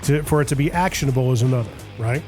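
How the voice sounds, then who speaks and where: A man's voice: medium pitch, fast talker, a little mellow, faint music behind. A man hosting a business podcast, talking casually and rambling with lots of restarts. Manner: chatty, rambling with many restarts. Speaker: podcast host. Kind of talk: casual business interview chat.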